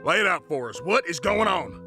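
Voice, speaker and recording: A woman's voice: on the low side, lively intonation, brisk, over music. A woman playing a brooding, hot-tempered gunslinger in a western audio drama, terse and demanding. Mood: agitated, baffled.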